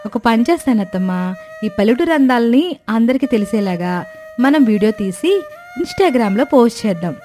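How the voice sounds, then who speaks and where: Woman playing a gentle, modest young daughter-in-law. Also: a little high, sing-song, normal speed, soft background music. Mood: earnest. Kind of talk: cartoon moral story dialogue.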